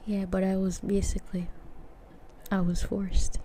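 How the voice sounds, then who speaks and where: A woman's voice: medium pitch, flat delivery, measured pace, mellow, a little hiss or hum, lightly acted. A woman voicing a young new student from Jamaica, hesitant and guarded.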